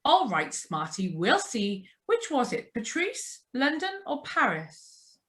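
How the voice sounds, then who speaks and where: A woman's voice: on the high side, sing-song, takes it slowly. A woman acting a chatty, doting older mother-in-law in an old-style radio drama read over a video call. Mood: chatty, insistent. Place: remote live reading over video call.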